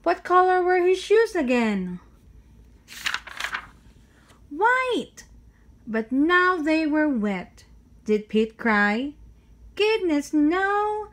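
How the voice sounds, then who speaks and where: A woman reading a children's picture book aloud in a deliberate way, putting exclaimed questions and answers to young listeners. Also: high-pitched, big pitch swings. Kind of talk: read-aloud children's story narration.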